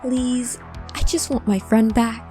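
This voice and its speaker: A woman acting an earnest, emotional hacker searching for a lost friend in a cyberpunk audio drama, with halting delivery. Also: a little high, fairly even intonation, dark tone, faint music behind. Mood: pleading, determined. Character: distressed, determined hacker woman seeking her lost friend.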